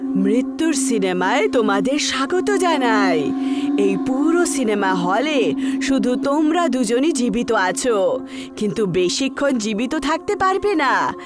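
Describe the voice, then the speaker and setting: A woman's voice: on the high side, very animated, a little slow. A woman voicing a burnt undead wife, a theatrical and menacing ghost in a horror cartoon. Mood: gloating, menacing. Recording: background music under the voice.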